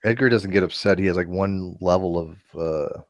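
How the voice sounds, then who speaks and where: A man's voice: sits low, moderate pace, no echo, dull audio. Male host, laughing storyteller, dry close sound. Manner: laughs often, animated storytelling. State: tired. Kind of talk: casual late-night group chat.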